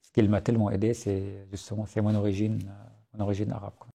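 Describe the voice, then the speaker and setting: Syrian-born guy w/ arabic accent, low gravelly voice, kinda husky, lots of euh and restarts. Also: little pitch movement, brisk, dark tone. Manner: earnest, frequent hesitations and restarts. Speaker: guest author. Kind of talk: podcast interview.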